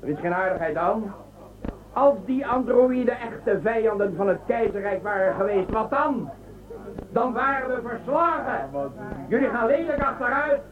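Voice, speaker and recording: An actor playing an arrogant, imperious emperor of a space empire in a radio drama, scornful and commanding. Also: male voice, extremely high pitch, normal speed, far from the mic, narrow, tinny audio. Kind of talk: Dutch radio drama acting. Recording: thin band-limited radio recording, crowd behind.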